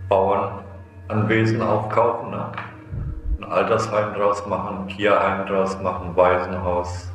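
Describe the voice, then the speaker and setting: A man's voice: low voice, completely flat delivery, unhurried, a bit bright, soft background music. A man in an interview, often ending phrases with a short questioning tag. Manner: tags phrases with a questioning particle. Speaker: convicted prisoner being interviewed. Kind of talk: archive interview talk. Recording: very echoey room recording.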